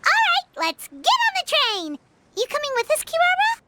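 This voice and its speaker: A woman voicing a tiny, childlike fairy companion in an animated game, chirpy and eager. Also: very high voice, moderate pace, very noisy, heavily acted. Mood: excited. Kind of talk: animated game dub acting.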